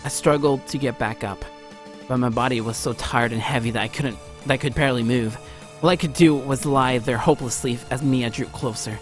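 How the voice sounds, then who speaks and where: A man reads a visual novel aloud as a let's play host, with theatrical delivery and frequent stumbles. His voice is mid-pitched, and music plays behind him in a home recording. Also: fairly quick. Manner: theatrical, often stumbles over the text. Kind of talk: dramatic read-aloud narration of visual novel.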